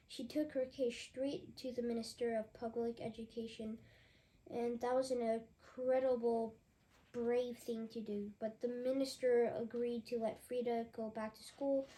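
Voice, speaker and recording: Young woman with a sore, hoarse throat. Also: on the high side, mellow, slight background noise, low-quality recording. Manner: halting, rambling, with many fillers and restarts. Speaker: young book reviewer. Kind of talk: casual book review vlog.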